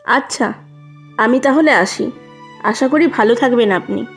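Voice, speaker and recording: A woman's voice: on the high side, unhurried. A woman playing an outspoken passer-by in a cartoon story. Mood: sympathetic. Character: outspoken female passer-by in the street. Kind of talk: Bengali cartoon story dialogue. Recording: faint music behind.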